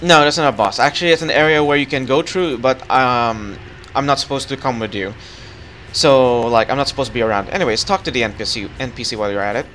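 A man's voice: medium pitch, quick pace. A young man hosting a casual co-op gaming session, talking in a loose, easygoing way. He hands out directions between small fillers and hesitations. A faint hiss of background noise sits under his voice. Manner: relaxed, guiding with fillers. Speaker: gaming channel host. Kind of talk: casual co-op gameplay chat.

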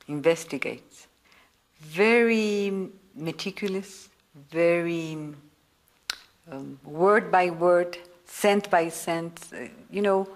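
A woman answers an interviewer very slowly, pausing to search for words. Her delivery is hesitant and careful. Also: lowish voice. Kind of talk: documentary interview.

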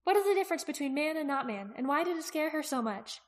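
A woman voicing an excitable, quick-witted young female character in a fantasy audiobook, speaking with emphatic expression. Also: sits high, voice pushed up. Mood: urgent, inquisitive. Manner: exclaiming, emphatic.

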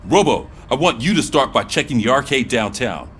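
An actor playing a firm middle-aged police sergeant in a game, briefing with authority. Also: male voice, medium pitch, lively intonation, fairly quick, fairly bright tone, slight background noise, natural voice. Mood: businesslike, firm.

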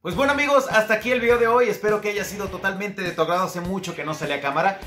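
A man's voice: high voice, very fast, fairly bright tone, soft background music. A young man, talking casually as a YouTube creator signing off. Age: young adult. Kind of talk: casual channel outro. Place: small home studio room.

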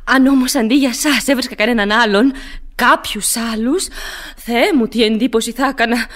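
A woman's voice: fairly high pitch, a bit bright, natural voice. An actress playing an elegant, mysterious lady with a theatrical, coquettish manner in a radio play. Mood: shaken, anxious. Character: elegant mysterious veiled lady, breathless, coy and teasing. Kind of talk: stage-style Greek radio theatre acting.

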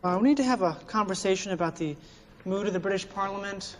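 A man's voice: sits high, fast talker, close to an everyday voice. An actor playing an earnest, officious male government aide in his twenties, hesitant and stiffly polite, in a screen satire. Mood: stiff, unsure. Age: 20s. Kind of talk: scripted satirical screen acting. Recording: very reverberant room, faint noise.

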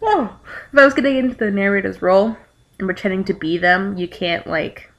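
A young adult woman reading aloud and commenting casually, in a halting, rambling way with exasperated asides. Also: medium pitch, lively intonation. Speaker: amateur vlogger reading fan fiction aloud. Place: living room at home.

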